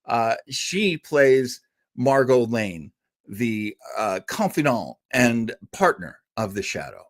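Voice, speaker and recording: A man's voice: medium pitch, sing-song, takes it slowly. A man hosting a podcast, talking casually and enthusiastically about old radio history, chatty with fillers and asides. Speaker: podcast host.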